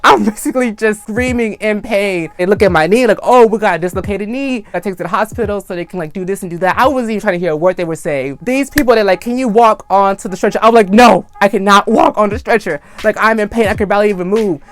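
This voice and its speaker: High-voiced young man telling a storytime. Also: fast talker, hardly any pauses. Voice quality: high-pitched voice. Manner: animated, acts out screams and quotes. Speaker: storytime vlogger. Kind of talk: casual storytime.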